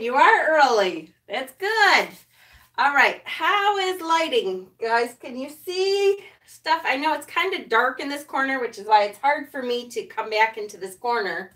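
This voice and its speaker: A woman with a fairly high, sharply bright voice hosts a casual live stream, loud and chatty as she greets her viewers. She stands a few feet back from the camera in a small room at home, so her voice sounds a little distant. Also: very animated, unhurried. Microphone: camera set a few feet away.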